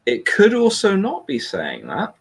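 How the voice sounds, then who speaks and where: A man talking casually through politics, in a measured, questioning way that hedges his claims. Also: high-pitched, huge pitch swings, gravelly, a loud crowd. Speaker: host discussing a political transcript. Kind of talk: casual conversational political discussion.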